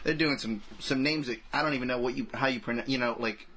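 A man's voice: mid-range voice, quick pace, bright, forceful tone. A man co-hosting a podcast, talking about films in a casual, rambling, informal way. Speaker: podcast co-host.

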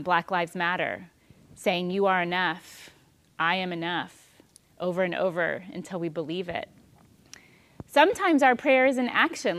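A woman in her thirties giving a calm, thoughtful spiritual talk on prayer, with soft pauses. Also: on the low side.

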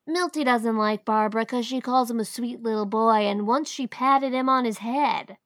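A woman's voice: fairly high pitch, normal speed, dry recording, clean studio sound, close to an everyday voice. A woman voicing a chatty, eager six-year-old boy. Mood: chattering eager. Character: boastful lively small boy, about six.

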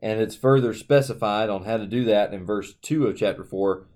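A man's voice: lowish voice, moderate pace, a little mellow. A man co-hosting a Bible podcast, setting up the text and reading verses aloud steadily. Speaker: podcast co-host. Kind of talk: reading and explaining scripture.

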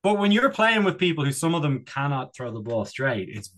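A man's voice: a little high, sing-song, a little fast, a bit bright. A man chatting casually as a comedy podcast co-host, rambling and digressing with a jokey manner.

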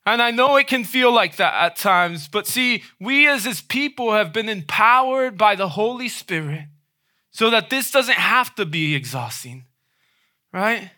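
A man preaching a sermon in a conversational way, often checking in with a quick "right?". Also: sits high, lively intonation, sharp tone. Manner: conversational, frequent "right?" tag questions.

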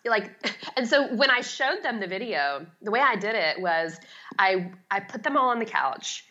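Woman, middle-aged, bright forceful voice, chatty, self-deprecating, casual interview guest, slightly muffled lo-fi audio. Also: medium pitch, expressive, normal speed. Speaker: guest, home organizing expert.

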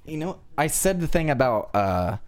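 A man co-hosting a casual discussion, talking in a halting, tentative way. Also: fairly high pitch, sing-song. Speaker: podcast co-host.

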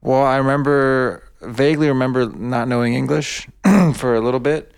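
A man born in Bosnia and raised in America, speaking softly and a little hesitantly as a guest in a casual chat. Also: medium pitch, vocal fry, smooth. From born in Bosnia, raised in the United States. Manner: soft-spoken, hesitant, quick short replies.

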